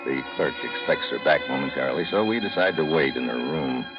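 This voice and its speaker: A very deep-voiced hard-boiled spy. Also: male voice, animated, a little fast. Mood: narrating. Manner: clipped, wry and matter-of-fact. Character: hard-boiled male American secret agent. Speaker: spy narrating his own case. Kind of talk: 1950s radio drama acting. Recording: dull vintage radio broadcast with music.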